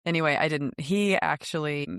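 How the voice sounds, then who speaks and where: A woman's voice: low voice, moderate pace, fairly bright tone, smooth, no room sound, studio quality. A middle-aged woman co-hosting a podcast, telling family stories in a casual, conversational way. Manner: conversational storytelling, quotes her kids. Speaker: podcast co-host. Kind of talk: casual chatty parenting podcast talk.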